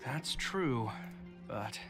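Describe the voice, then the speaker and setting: An actor voicing an earnest young man, a worried older brother, speaking in a measured, thoughtful way with frequent sighs. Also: mid-range voice, animated, music in the background. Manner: measured, thoughtful, sighing often. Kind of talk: game voice acting.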